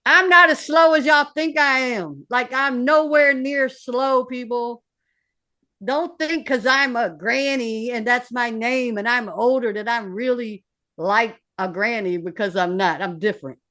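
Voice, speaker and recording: A woman's voice: a little high, measured pace. Woman, fifties, recording artist, blunt, streetwise, salty language, interview guest. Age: 50s. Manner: blunt, swearing, streetwise and animated. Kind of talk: candid casual interview talk.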